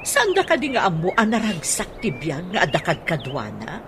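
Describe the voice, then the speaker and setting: A woman acting a cheerful, lovestruck older widowed aunt in an Ilocano radio comedy drama, with a giggly, animated manner. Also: on the low side, moderate pace. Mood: cheerful, smitten. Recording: radio drama with clear background noise.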